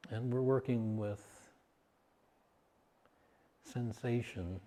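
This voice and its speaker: A man leading a guided meditation as its teacher, soothing and unhurried, speaking in short phrases with long pauses. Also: mid-range voice, warm tone. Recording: hall-like long reverb.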